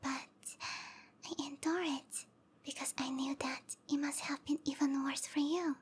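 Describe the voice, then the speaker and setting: High, whispery, clingy snake girl, acted by a woman. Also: steady tone, unhurried. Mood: tender, possessive. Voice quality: breathy, whispery. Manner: cooing, tender, possessive. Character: sweet, clingy, obsessive female snake-girl lover, softly menacing. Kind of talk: intimate ASMR roleplay, whispered.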